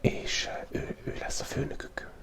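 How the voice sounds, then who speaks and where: A man hosting a relaxed ASMR drawing video, whispering in a loose, playful way and thinking aloud as he sketches, close to the microphone. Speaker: channel host. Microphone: close studio microphone picking up pen tablet.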